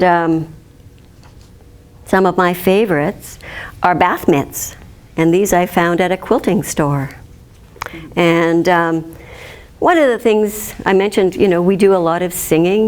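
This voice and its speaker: A woman's voice: fairly low pitch, darkish tone. A woman in her fifties, a children's librarian, teaching a chatty demonstration lecture and laughing often. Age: 50s.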